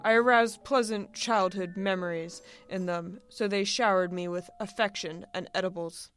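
A woman's voice: medium pitch, steady tone, normal speed, a bit bright, faint music behind, natural voice. A woman voicing a weary, sarcastic, furry male alien in a story, with dry, exasperated delivery.

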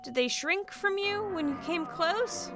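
Woman putting on a high-pitched, fussy alien fellow. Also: lively intonation, a little slow, over music, full character voice. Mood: probing, wounded. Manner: effusive, solicitous, overdramatic. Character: fussy, anxious, pitying alien friend, male.

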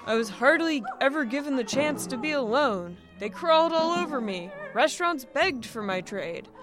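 A woman's voice: mid-range voice, steady tone, unhurried, over music, natural voice. A woman voicing a weary, sarcastic, furry male alien in a story, with dry, exasperated delivery.

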